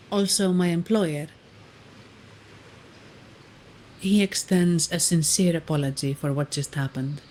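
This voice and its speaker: An actress playing a composed, dutiful bodyguard and guide in a video game, speaking in a formal, measured, matter-of-fact way. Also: female voice, on the low side, darkish tone, a little hiss or hum, natural voice, rough recording. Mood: formal, reassuring.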